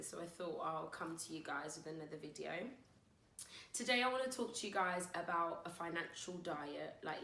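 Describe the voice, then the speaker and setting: Woman w/ a croaky under-the-weather voice, chatty money tips, echoey room. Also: fairly low pitch, fairly bright tone. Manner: chatty, direct, addresses viewers as guys. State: croaky from being unwell. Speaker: finance vlogger. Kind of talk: casual advice vlog.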